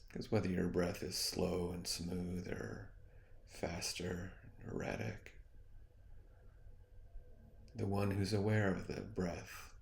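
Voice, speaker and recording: A man with a deep, creaky voice leads a guided meditation. He speaks very slowly, leaving long pauses between soft suggestions. His manner is soothing and hypnotic, like a teacher easing a listener into rest. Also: a little hiss or hum.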